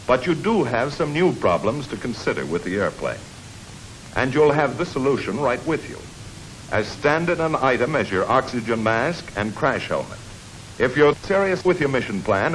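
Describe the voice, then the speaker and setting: An American man reading scripted narration for a 1950s military training film. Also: medium pitch. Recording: noisy 1950s film soundtrack.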